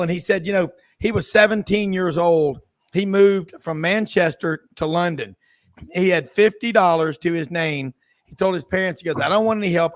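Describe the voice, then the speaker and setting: High-voiced chatty radio host. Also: male voice, fairly bright tone, no room sound. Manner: chatty storyteller, laughs easily. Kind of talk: casual radio chat.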